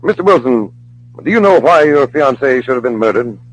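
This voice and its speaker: An actor playing a polite, composed and formal sleuth with a wry touch, in an old radio drama. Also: male voice, medium pitch, lively intonation, lightly acted, rough recording. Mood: polite, probing. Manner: polite, formal, measured phrasing. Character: courteous, composed magician detective, mildly wry. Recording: old radio broadcast recording.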